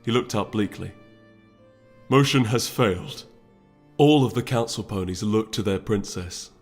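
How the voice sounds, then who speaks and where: A man reading a story aloud as dramatic, solemn and deliberate scripted narration. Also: fairly low pitch, darkish tone. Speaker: story reader.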